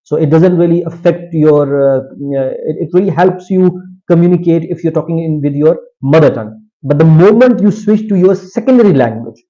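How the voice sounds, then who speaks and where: Pakistani male presenter, Potohari and Urdu native, higher-pitched mellow voice, halting informal lecture delivery, dull recording. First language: Potohari Punjabi and Urdu. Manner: halting, hesitant second-language English with self-corrections. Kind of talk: informal lecture, partly reading research aloud.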